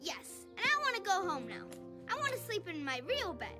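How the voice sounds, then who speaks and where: Very high-pitched, excitable little boy. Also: a little fast. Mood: sulky, homesick. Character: excitable little boy, about six, earnest. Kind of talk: animated film dialogue. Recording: loud film music underneath.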